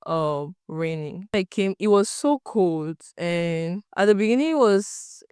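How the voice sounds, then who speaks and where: A young woman from Cameroon with a Cameroonian French accent and French as her first language. She answers interview questions as a student researcher, often pausing to search for words. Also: fairly low pitch, lively intonation, a little mellow, clear, resonant tone, dry recording, studio quality. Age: young adult.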